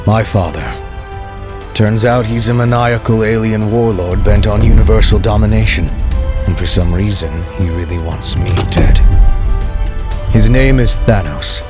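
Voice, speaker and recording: An actor playing a caring young hero in a video game, earnest and wryly resigned. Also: male voice, on the low side, measured pace, natural voice. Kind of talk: video game cutscene acting. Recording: music underneath.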